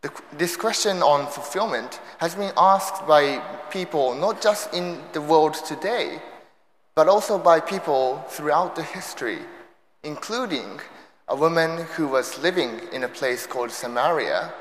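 A man's voice: on the high side, expressive, unhurried, a little hiss or hum. Young Japanese-accented man in echoey hall. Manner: light self-deprecating humor. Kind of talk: sermon talk. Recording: echoey hall with long reverb.

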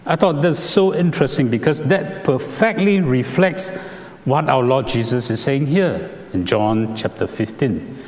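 A Singaporean man delivers a sermon at an unhurried pace, his voice moving expressively up and down. He explains carefully, now and then stopping on an "uh." His words ring out with the long reverb of a large hall. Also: medium pitch, expressive, darkish tone. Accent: Singaporean English. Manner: measured, explanatory, with "uh" fillers. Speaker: preacher.

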